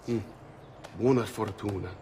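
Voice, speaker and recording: A man's voice: lowish voice, steady tone, normal speed, mellow, a little hiss or hum, lightly acted. A man playing a sly, knowing Italian master thief in a video game cutscene, with an Italian accent and dramatic, teasing delivery. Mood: conspiratorial.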